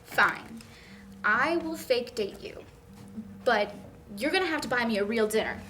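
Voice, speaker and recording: A young woman reading the part of a meddling, self-assured college student at a script table read, brisk and teasing. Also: a little high, expressive, sharp tone. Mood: playful. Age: young adult. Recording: faint background noise.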